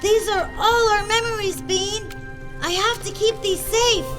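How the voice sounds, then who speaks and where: Very high-voiced, excitable child adventurer. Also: female voice, measured pace. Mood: bewildered. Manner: exclamatory, wide-eyed, earnest. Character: young child adventurer, excitable, earnest, loyal. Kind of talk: animated film dubbing. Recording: music over the voice.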